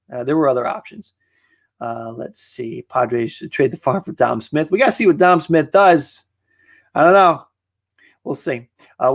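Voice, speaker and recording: A man's voice: mid-range voice, gravelly. A man in his thirties hosting a late-night live stream, chatting casually and rambling with many fillers, tired and losing his voice. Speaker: host of a live sports stream. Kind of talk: casual live-stream chat reading viewer comments.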